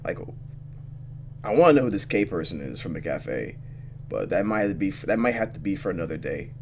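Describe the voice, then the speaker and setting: A man playing a visual novel, reading the text aloud and thinking out loud expressively as he goes. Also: sits low, big pitch swings, fairly quick, gravelly, a little hiss or hum. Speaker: let's player reacting to a visual novel. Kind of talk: gaming commentary mixed with reading game text aloud.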